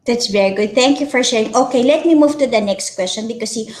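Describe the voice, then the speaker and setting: A woman's voice: on the high side, quick pace, darkish tone. A woman hosting an interview, affirming her guest in a friendly way before she moves on to the next question. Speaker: host. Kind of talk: casual interview conversation. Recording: echoey room.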